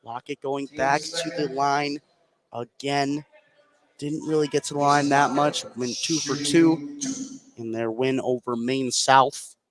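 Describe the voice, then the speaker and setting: A man's voice: mid-range voice, fairly even intonation, takes it slowly, a crowd in the background, rough recording. A man working as a play-by-play announcer, calling a live basketball game in clipped, present-tense phrases that follow the action. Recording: clear gym noise behind the commentary. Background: gymnasium game ambience.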